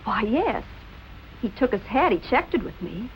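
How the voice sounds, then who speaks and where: An actress playing a brisk, loyal nightclub woman in 1940s film noir style. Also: fairly high pitch, sing-song, slight background noise, low-quality recording. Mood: brisk, curious.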